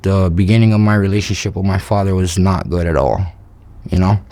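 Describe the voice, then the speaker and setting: A man of thirty from Hawaii, calmly telling his life story in an interview. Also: sits low, a little fast. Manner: steady, matter-of-fact storytelling. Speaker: interview subject.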